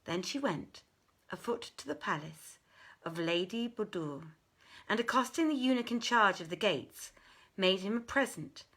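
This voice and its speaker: A woman reading a literary tale aloud as a storyteller, with theatrical, deliberate and expressive phrasing. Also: medium pitch. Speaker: storyteller, reader.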